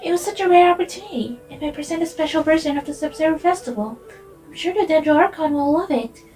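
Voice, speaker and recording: A polite, enthusiastic young dancer acted by a woman speaks in a high, sweet voice. It is fan-dubbed game dialogue with faint music behind. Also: fast talker, darkish tone, close to an everyday voice. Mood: excited, eager. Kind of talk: fan dub of a fantasy game.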